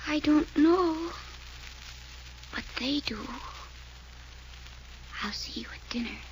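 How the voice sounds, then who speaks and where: A frightened young woman, high-pitched and hushed, breaking into fearful whispers. Faint noise sits under this 1940s radio drama voice. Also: lively intonation, slow, lo-fi sound.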